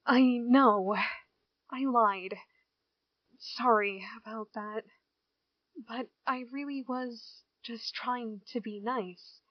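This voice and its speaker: A woman's voice: a little high, fairly even intonation, no room sound. Hesitant, withdrawn girl, acted by a woman, dull recording. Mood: apologetic. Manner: hesitant, halting. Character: withdrawn small-town girl, hurt, hesitant and apologetic. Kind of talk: amateur audio drama acting. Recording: dull, dry lo-fi home recording.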